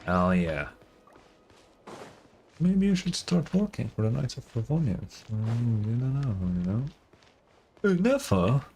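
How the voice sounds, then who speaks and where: A man's voice: mid-range voice, sing-song, takes it slowly, pauses often, a little mellow. A man giving casual, slangy gameplay commentary as a streamer, reacting aloud somewhat hesitantly. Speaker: game streamer. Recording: game music behind the voice.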